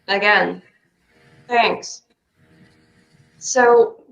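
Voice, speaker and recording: A woman playing a stubborn, accusing child spacewalker in a theatrical script reading, measured and pointed. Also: medium pitch, vocal fry, fairly bright tone, reverberant, full character voice, poor audio. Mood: guarded. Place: remote online stream.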